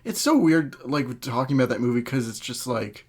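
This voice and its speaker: A man's voice: mid-range voice, sing-song, moderate pace, husky. A man in a casual, opinionated podcast chat, sweary and jokingly exasperated, often laughing. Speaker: podcast host.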